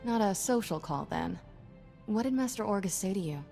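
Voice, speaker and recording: A skeptical young female sidekick, brisk in manner. It is video game cutscene acting at a middling pitch, with music behind. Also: lively intonation, vocal fry, warm tone. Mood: skeptical, curious.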